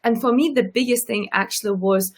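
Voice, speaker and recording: A woman's voice: fairly high pitch, a little fast. A woman with a Russian accent, a coach hosting an interview, talking emphatically and encouragingly. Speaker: host and coach. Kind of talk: casual interview chat.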